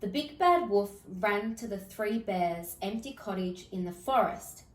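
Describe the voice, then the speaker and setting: Slow-paced woman reading a children's story. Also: mid-range voice, roomy sound, distant mic. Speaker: storybook reader. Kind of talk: expressive children's story read-aloud.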